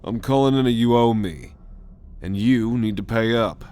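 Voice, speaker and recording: A man's voice: mid-range voice, normal speed, a little mellow, clear, resonant tone, natural voice. A man playing a cocky, commanding alien rogue with a sardonic manner in an ASMR roleplay audio drama. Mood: taunting. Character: cocky male alien rogue, bold leader on a mission.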